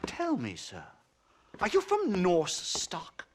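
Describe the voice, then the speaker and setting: A man playing an eccentric, excitable professor in a video game, stammering and flustered with a pompous academic manner. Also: high-pitched, slow, a little hiss or hum, full character voice. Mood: musing, condescending. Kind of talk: video game character acting.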